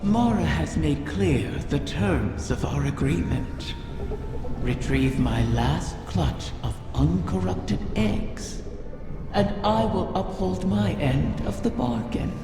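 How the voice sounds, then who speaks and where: An ancient dragon from a video game, bitter and bargaining. The delivery is slow, theatrical and menacing, with a gravelly creak. The voice rings out in a cavernous reverb over clear music. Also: male voice, fairly high pitch, animated, exaggerated voice. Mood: imperious, guiding. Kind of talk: video game character acting. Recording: cavernous echo with long reverb.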